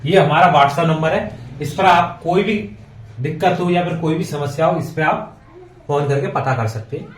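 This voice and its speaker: A man with a slightly high voice gives an informal maths lesson for exam students. He works step by step, repeating himself and checking in often. The recording is roomy and echoey, with him some way from the microphone. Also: moderate pace, a little hiss or hum. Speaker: maths teacher for exam preparation. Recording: echoey room, distant microphone.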